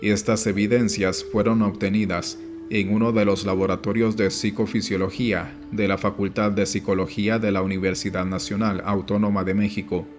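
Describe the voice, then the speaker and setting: Male audiobook narrator: measured and formal, low register, very even delivery. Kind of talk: scripted audiobook narration of nonfiction. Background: background music.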